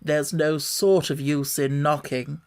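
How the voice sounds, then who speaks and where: A man's voice: a little high, fairly even intonation, clean tone. A man voicing a dull, solemn footman with a frog's face. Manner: slow and solemn. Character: dull solemn frog-faced male footman.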